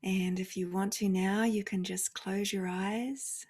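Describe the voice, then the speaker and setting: Slow, breathy woman guiding meditation. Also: mid-range voice, steady tone. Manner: soft, hushed, often slipping into breathy near-whisper; unhurried, with long pauses between phrases. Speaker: guided meditation leader. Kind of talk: gentle guided meditation talk.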